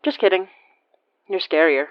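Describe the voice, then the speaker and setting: A woman's voice: on the low side, animated, normal speed, no echo, dull audio, close to an everyday voice. An actress playing a chatty, teasing woman giving a casual update in an audio drama.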